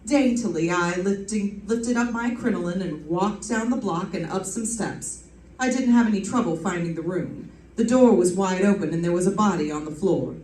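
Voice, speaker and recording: A woman playing a brisk, bossy, unflappable female private detective in an old-time radio drama, with wry hardboiled narration and commanding dialogue. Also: mid-range voice, a little mellow, natural voice. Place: live stage performance, recorded from a distance. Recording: roomy, distant stage pickup with faint noise.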